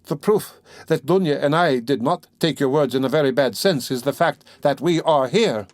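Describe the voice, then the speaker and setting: A man's voice: a little high, expressive, normal speed, a little mellow, dry recording, voice pushed up, natural voice. A man reading aloud, voicing an older mother who speaks hesitantly but with dignity. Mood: timid dignity.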